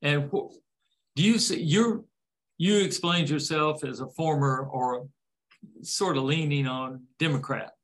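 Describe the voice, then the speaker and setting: A man's voice: fairly high pitch, a little slow. Folksy older man hosting an interview. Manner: folksy, rambling, jokes about parenting. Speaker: interview host. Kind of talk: casual interview.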